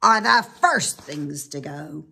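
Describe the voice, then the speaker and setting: A woman's voice: deep voice, lively intonation, takes it slowly, creaky, sharp tone, husky, pitched below the performer's own voice, exaggerated voice. A woman voicing a sly, mean male storybook creature who gloats and turns falsely sweet when he lies. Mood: gleeful, scheming.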